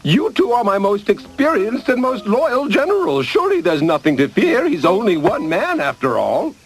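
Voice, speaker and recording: A blustering fairy-tale cartoon king, pompous and easily flustered. The voice is high and creaky, leaping wildly up and down over background music. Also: wildly swooping pitch, normal speed, heavily acted. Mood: flustered, anxious. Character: blustering, scheming, nervous old king. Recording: music behind the voice.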